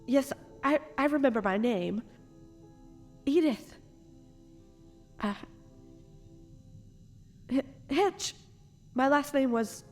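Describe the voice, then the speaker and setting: A woman playing a frightened but defiant noblewoman in an audio drama, with a halting, stammering, anguished delivery. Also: a little high, a little mellow, heavily acted. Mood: bewildered. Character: distraught revived noblewoman, mother, frightened and defiant.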